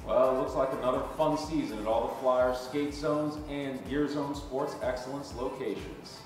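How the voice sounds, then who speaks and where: A man co-hosting a scripted promo video, announcing in a measured way. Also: mid-range voice, over music. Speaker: co-host. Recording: echoey room from far off.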